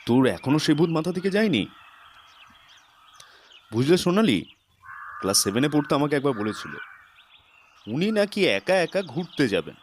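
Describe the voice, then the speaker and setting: A man's voice: on the high side, big pitch swings, moderate pace, pauses often, slight background noise. Man acting stern middle-aged father. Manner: scolding, admonishing. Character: stern, exasperated but caring middle-aged Bengali father. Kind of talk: audio story drama acting.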